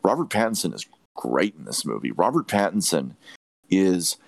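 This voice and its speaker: Male podcast film reviewer, low voice, dry sound, emphatic and opinionated, repeats himself for stress. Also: sing-song, normal speed, vocal fry, no room sound. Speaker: podcast host reviewing films. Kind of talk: opinionated movie review chat.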